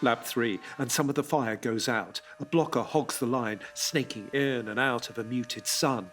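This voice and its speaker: A man narrating a dramatic audio drama, hushed and tense, then urgent. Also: mid-range voice, moderate pace. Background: music.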